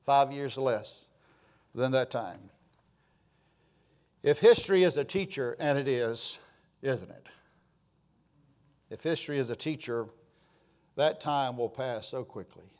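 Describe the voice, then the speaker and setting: Slow-preaching pastor in his sixties. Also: mid-range voice, a little mellow. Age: 60s. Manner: slow, repetitive preaching with rising emphatic swells. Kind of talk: sermon.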